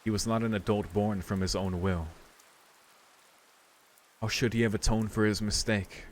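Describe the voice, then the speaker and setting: A man's voice: on the low side, fairly even intonation, normal speed. A man streaming a visual novel, reading its narration aloud with casual commentary, with rain sounds from the game behind him.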